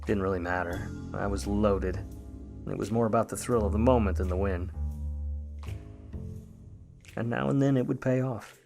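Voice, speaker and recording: An actor playing a sardonic, world-weary 1950s Hollywood private eye in a radio play, with hard-boiled delivery. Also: male voice, on the low side, moderate pace, creaky, long pauses, darkish tone, soft background music. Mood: resigned narration. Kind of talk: noir radio play narration and dialogue.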